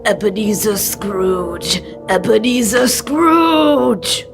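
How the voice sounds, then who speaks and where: A young woman plays a dead businessman's doomed ghost in a radio play. The delivery is very slow, solemn and drawn out, full of woe, with clear music behind it. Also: medium pitch. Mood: wailing summons. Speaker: student actor. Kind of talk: old-time radio drama acting.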